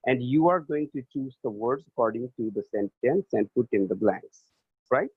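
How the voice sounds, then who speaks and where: A man's voice: medium pitch, expressive, normal speed, slightly rough, dry recording. A male teacher giving a class lesson remotely, repeating each answer and spelling words out letter by letter. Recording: tinny, phone-like lo-fi sound.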